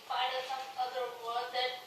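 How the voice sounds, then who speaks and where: A woman's voice: high-pitched, completely flat delivery, moderate pace, music in the background. An American-born woman student in the audience describing her experience, searching for words and restarting. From United States. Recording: echoey room from far off, noise.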